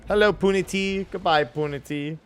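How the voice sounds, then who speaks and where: A man's voice: high voice, moderate pace, clear, resonant tone, soft background music. A man giving casual livestream commentary on a video game, playfully mimicking the characters.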